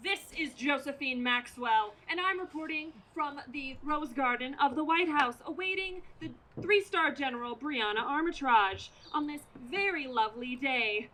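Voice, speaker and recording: A woman's voice: sits high, normal speed, hardly any pauses, bright, forceful tone, poor audio. A woman playing an earnest female radio news reporter in a comic stage radio play, speaking in a dramatic, declamatory manner. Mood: solemn. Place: outdoors, community theater play in a parking lot. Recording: outdoor amateur stage performance.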